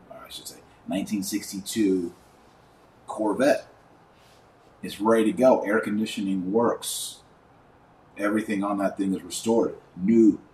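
Deep-voiced man in an echoey room. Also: a little mellow. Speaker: podcast host and commentator. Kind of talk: informal religious commentary podcast. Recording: roomy echo, distant mic, faint noise.

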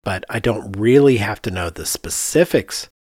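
A man's voice: fairly low pitch, animated, normal speed, darkish tone, no echo, studio quality. A man co-hosting a podcast, explaining things casually and conversationally, often thinking aloud. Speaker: podcast co-host, architect.

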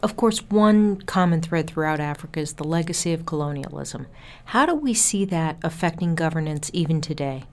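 A woman's voice: sits low, lively intonation, normal speed, a little mellow. A woman interviewing a guest, asking brief questions and prompting with short acknowledgments.

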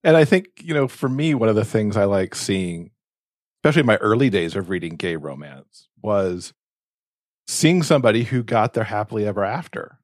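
A man's voice: fairly low pitch, big pitch swings, moderate pace, dry recording, studio quality. A man chatting casually as a podcast co-host, speaking in a reflective, earnest way.

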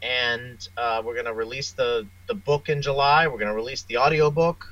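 A man with a forceful, bright voice and average pitch answers interview questions casually. He rambles a little and hesitates as he goes. The recording is slightly dull and lo-fi. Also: steady tone, normal speed. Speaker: interview guest, author and audio drama producer.

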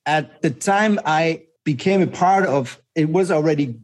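A man's voice: fairly high pitch, measured pace. A man in his forties who grew up in Germany, a pastor telling his story as an interview guest, earnest and restarting often as he recalls things. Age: 40s.

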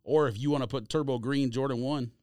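A man's voice: medium pitch, fairly even intonation, quick pace, darkish tone, dry recording, studio quality. A man co-hosting a casual podcast, arguing his picks in slangy conversational speech. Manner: argumentative, slangy. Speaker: podcast co-host. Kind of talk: casual sneaker banter.

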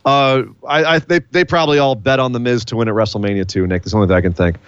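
A man co-hosting a casual wrestling podcast, animated and playful, hyping up each announcement. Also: mid-range voice, very fast, smooth, muffled recording. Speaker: podcast co-host.

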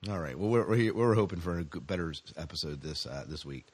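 Low-voiced man chatting on a podcast. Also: animated, fast talker, breathy edge. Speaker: podcast co-host leading the discussion. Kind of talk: casual TV discussion chat.

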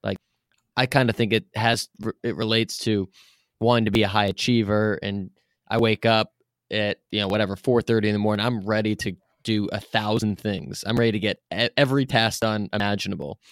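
A man's voice: fairly low pitch, fairly even intonation, fairly quick, no room sound, clean studio sound. A man hosting an interview podcast in casual chat, with informal, loosely phrased questions that meander.

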